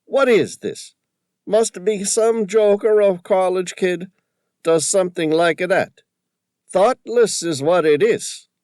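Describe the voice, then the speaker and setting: A man voicing an excitable old male shop owner with rough, folksy speech. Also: high-pitched, slow, no room sound, clean studio sound, pitched above the performer's own voice. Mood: indignant.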